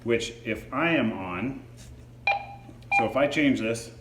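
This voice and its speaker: A man's voice: mid-range voice, expressive, slow pace. A man reviewing a product in a casual, hands-on demo, explaining features informally. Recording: echoey room with faint background noise.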